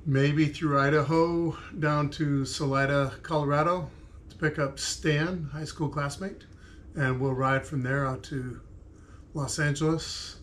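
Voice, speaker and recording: A man in his late fifties vlogging casually about a trip, unhurried and dotted with uhs, in a large garage. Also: on the high side, steady tone, fairly bright tone, a little hiss or hum. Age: late 50s. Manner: slow, unhurried, with many uhs. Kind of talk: casual vlog talk to camera. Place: large cluttered home garage. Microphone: camera across the garage.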